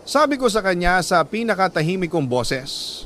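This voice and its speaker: Higher-pitched Filipino man narrating dramatically. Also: big pitch swings, normal speed, a bit bright, slight background noise. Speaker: storyteller reading a listener's letter. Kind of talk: dramatic scripted story narration.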